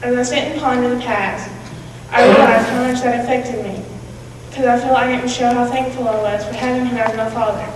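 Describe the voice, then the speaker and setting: Young female student reciter, lightly high voice, distant, very reverberant recording. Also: steady tone, fairly quick. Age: teenager or young adult. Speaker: student performer. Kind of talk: readers theatre monologue, recited. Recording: echoey hall from far off, noisy.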